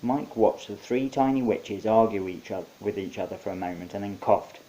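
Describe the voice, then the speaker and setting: Mellow, low-voiced man reading a story. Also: moderate pace. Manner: wry, conversational storytelling. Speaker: author reading own short story. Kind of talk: story narration. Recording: faint background hiss.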